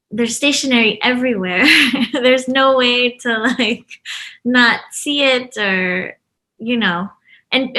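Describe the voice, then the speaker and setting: A woman's voice: on the high side, sharp tone. A woman who grew up in Brazil, the US and Japan, talking in a chatty, informal way as an interview guest. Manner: chatty, informal, laughs easily. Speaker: interview guest, stationery shop co-owner. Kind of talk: casual conversational interview.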